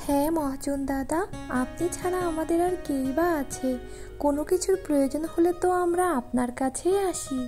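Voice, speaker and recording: A woman's voice: sits high, normal speed, warm tone, faint music behind, lightly acted. A woman voicing a clever, determined village wife in a cartoon folk tale, earnest and coaxing in manner. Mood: coaxing, meek.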